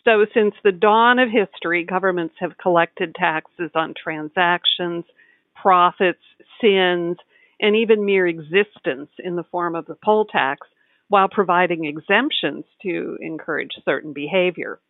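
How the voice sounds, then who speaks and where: A woman with a slightly low voice explains things as an interview guest. She is articulate and measured, and the recording is narrow and phone-like, with very little room sound. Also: no room sound. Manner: articulate, measured storytelling in full sentences. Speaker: tax advisor, interview guest. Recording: tinny phone-like line, dry.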